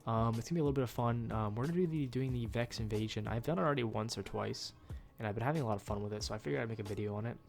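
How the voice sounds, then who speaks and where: A man's voice: mid-range voice, very fast, vocal fry, hardly any pauses, a little mellow, faint music behind. A young man with a nasal, stuffed-up voice giving rambling, casual commentary while he plays a game at home, full of ums and uhs. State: nasal from a cold. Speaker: gaming YouTuber.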